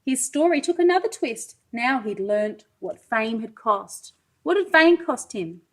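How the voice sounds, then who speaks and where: A woman reading a children's storybook aloud like a pre-school teacher, expressive and sing-song, pausing to put questions to young listeners. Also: on the high side.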